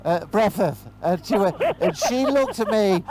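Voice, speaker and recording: A man's voice: high-pitched, animated, a little slow. A British man with a slight lisp, telling self-mocking stories in casual chat. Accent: British English. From Britain. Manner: self-mocking, laughing at himself. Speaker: vlogger. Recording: loud road noise behind.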